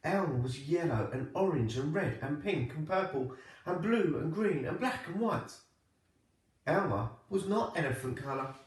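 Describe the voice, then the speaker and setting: A man reading a children's storybook aloud like a primary teacher, with expressive, deliberate phrasing. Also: on the high side. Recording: echoey room, distant microphone.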